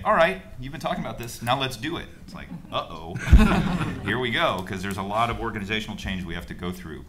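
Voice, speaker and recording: A middle-aged American man with a very low, deep voice speaks fluently and upbeat. He talks at a table microphone in a conference room, with a faint hum of ambience behind him. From United States. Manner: fluent and upbeat, laughs at himself. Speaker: panelist. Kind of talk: conference panel talk.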